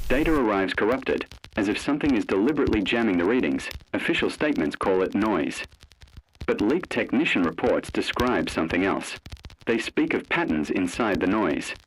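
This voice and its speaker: A man's voice: fairly low pitch, a little hiss or hum, poor audio. A man narrating a scripted horror warning in a grave, ominous manner.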